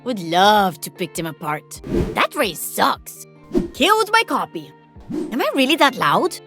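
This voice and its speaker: Cheeky, animated female inventor. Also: mid-range voice, a little slow, over music. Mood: playful, teasing. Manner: playful, blunt.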